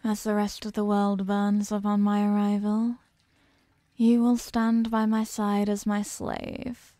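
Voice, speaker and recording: A woman acting a slow, crackling, imperious eldritch horror. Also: medium pitch, monotone, dark tone, dry recording. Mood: possessive, grandiose. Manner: slow, deliberate, condescending, commanding. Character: imprisoned ancient female eldritch being, imperious, possessive, seductively menacing. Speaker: audio roleplay performer. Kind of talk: scripted ASMR horror roleplay.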